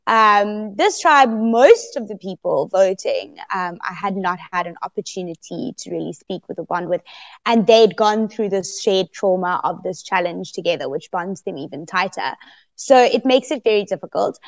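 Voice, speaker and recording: A woman guest on a podcast interview, telling her story expressively and loudly, with laughs here and there. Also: medium pitch, lively intonation, normal speed. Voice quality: very loud voice. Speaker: guest, eliminated contestant.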